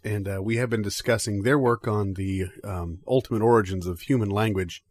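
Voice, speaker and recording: A man's voice: fairly low pitch, steady tone, fairly quick, no echo, close to an everyday voice. A man playing an earnest, baffled interview host who stammers hesitantly through an improvised mock radio interview. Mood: earnest, curious. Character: earnest, baffled male interviewer of odd scholars.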